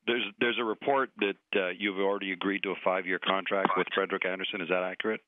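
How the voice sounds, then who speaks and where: A man's voice: low-pitched, flat delivery, fast, dry recording. A man, a TV sports reporter, asking questions on a press call over the telephone. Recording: dry, narrow phone line.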